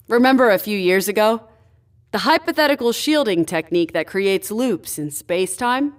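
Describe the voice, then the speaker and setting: An assertive female starship scientist, played by an actress in a sci-fi audio drama. Her voice sits at a medium pitch, and she speaks with direct, articulate precision. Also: animated, normal speed, close to an everyday voice. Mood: knowing, explanatory. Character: sharp-minded, assertive female spaceship crew scientist.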